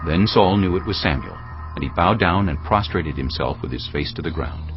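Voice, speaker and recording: A man's voice: low voice, expressive, moderate pace. A man reading scripture as the narrator of a dramatized audio production, in a scripted storytelling style. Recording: muffled studio reading with faint music.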